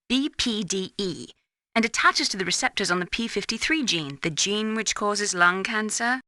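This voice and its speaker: An actress playing an earnest scientist advisor in a video game, explaining things in a precise, clinical, lecturing manner. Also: female voice, mid-range voice, pushed and bright, no room sound, clean studio sound.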